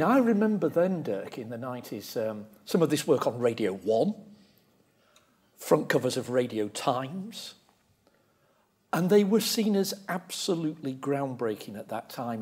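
A man's voice: mid-range voice, darkish tone, breathy edge. A middle-aged man hosting an on-stage interview, speaking emphatically and repeating key words. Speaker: interviewer, host. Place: lecture theatre stage.

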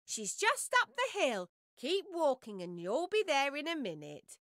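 A woman's voice: sits high, wildly swooping pitch, a little slow, pushed and bright, dry recording, clean studio sound, exaggerated voice. A woman voicing a friendly, helpful rabbit with a chirpy manner in a children's cartoon game. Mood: cheery. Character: bright, friendly adult female rabbit.

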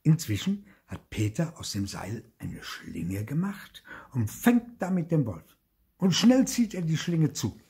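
An older man reading a children's story expressively at home, slowing and dropping to a whisper for suspense. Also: fairly high pitch, very animated, vocal fry, dark tone, breathy edge. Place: indoor study with bookshelves.

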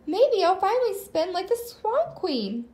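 Woman voicing a high, hopeful storybook little girl. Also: very animated, measured pace, a little mellow, roomy sound, heavily acted. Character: cheerful, hopeful young girl dreaming of ballet.

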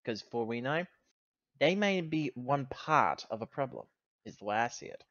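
A man's voice: medium pitch, animated, normal speed, a bit bright, no echo. A man playing a tabletop game, talking through the situation in conversation, in slightly dull close audio.

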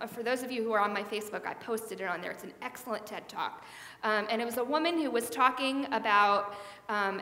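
A middle-aged woman pastor preaches in a relaxed, conversational way, with a slightly high voice. She wears a headset mic in a big church sanctuary. Her words ring out with a long, roomy echo. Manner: conversational preaching with hand gestures. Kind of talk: sermon. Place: large church sanctuary, indoors. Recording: echoey hall with long reverb.

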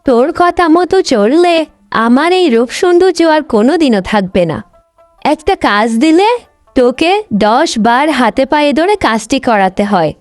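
একজন মহিলা কার্টুন গল্পে অহংকারী, স্বার্থপর তরুণী বড় বোনের চরিত্রে কণ্ঠ দিচ্ছেন, কথায় বকাঝকা আর অভিযোগের সুর।. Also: female voice, high voice, expressive, moderate pace, dry recording, faint music behind. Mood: scolding, aggrieved. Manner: scolding, complaining. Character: vain, selfish, sharp-tongued young elder sister. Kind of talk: Bengali cartoon story voice acting.

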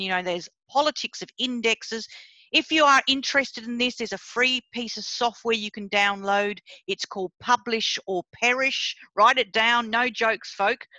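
Woman, professor, middle-aged, from Sri Lanka, blunt, chatty, crisp bright tone, dry sound. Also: on the high side, dry recording, poor audio. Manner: blunt, chatty, addresses listeners directly. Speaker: professor leading a seminar. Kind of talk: informal academic talk on a video call. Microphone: video call.

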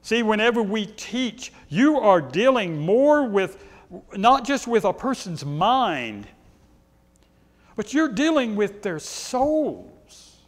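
Middle-aged male pastor, loud carrying voice, high and lively delivery, long church reverb. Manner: animated preaching, pacing and gesturing, rhetorical questions. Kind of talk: sermon. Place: church sanctuary, indoors.

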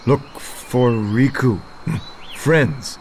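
An actor playing a gentle, earnest wild jungle man from a video game, speaking in halting, broken single words. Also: mid-range voice, slight background noise. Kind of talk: video game cutscene acting.

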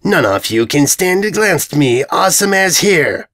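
A man's voice: a little high, sing-song, a little slow, a bit bright, voice pushed up. A man playing a whiny, pompous fantasy invader. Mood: pompous boasting. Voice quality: whining. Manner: boastful, dramatic, ungrammatical. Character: whiny, pompous, dim-witted armored male invader.

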